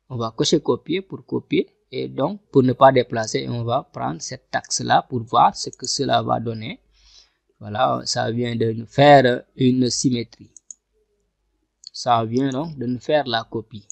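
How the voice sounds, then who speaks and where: A man giving a screen-recorded software tutorial, talking through each click step by step and often repeating himself. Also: mid-range voice, unhurried, creaky, no room sound. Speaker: software instructor. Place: at a computer, voice over screen capture.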